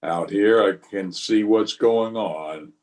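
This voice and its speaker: An actor playing a weary, watchful Old West marshal in a radio western, speaking and narrating in a measured, terse, grave way. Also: male voice, lowish voice, a little mellow. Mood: watchful, dry. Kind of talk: old-time radio western acting with first-person narration.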